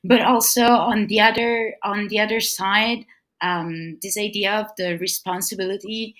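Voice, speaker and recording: A woman guest on a podcast panel, talking about film programming in a halting way with many restarts. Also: mid-range voice, steady tone, fairly bright tone. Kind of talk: casual panel discussion.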